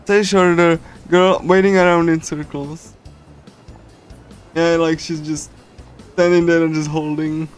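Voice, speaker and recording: Male streamer, slightly high voice, giggly and hesitant casual readthrough over game music. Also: flat delivery, clear, resonant tone. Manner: halting, repetitive, giggly. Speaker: livestreamer reading a visual novel.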